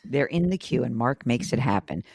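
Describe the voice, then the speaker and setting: Woman host, hoarse and raspy, brisk and direct, lively pitch, dry close sound. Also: no echo. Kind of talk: call-in money advice show.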